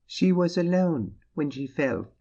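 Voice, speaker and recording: A man playing a small, gentle priest in an audiobook drama, speaking gravely and earnestly with quiet insistence. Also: on the high side, expressive, lo-fi sound, full character voice. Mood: grave, certain. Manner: slow, solemn, earnest.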